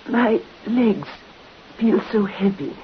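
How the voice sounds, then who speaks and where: An actress playing a gentle, ailing, dutiful daughter in a vintage radio drama, subdued and halting, on a muffled recording. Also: female voice, fairly high pitch, lively intonation, slight background noise. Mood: anxious, frail. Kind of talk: 1950s radio acting.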